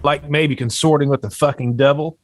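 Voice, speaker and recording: A man's voice: on the high side, steady tone, brisk, slight background noise, natural voice. A man playing a brash, angry young cowboy at a western table read, aggressive and accusing. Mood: brash, hostile.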